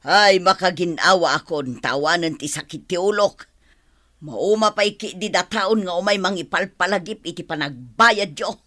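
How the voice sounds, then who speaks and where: A woman's voice: fairly low pitch, moderate pace, a bit bright, lightly acted. A woman playing a stern, fed-up older landlady who nags and scolds in a radio drama. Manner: nagging, scolding.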